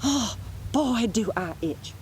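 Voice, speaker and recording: A woman's voice: a little high, lively intonation, slow, a little mellow, slight background noise, pitched above the performer's own voice. A woman voicing a sly, brazen schoolgirl bully in a storybook, blunt and taunting. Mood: sly, feigned innocence.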